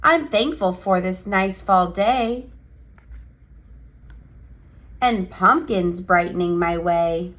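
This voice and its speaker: A woman reading a picture book aloud for young children in an expressive, cheerful and measured storytelling manner. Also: lowish voice. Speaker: children's picture book reader and channel host.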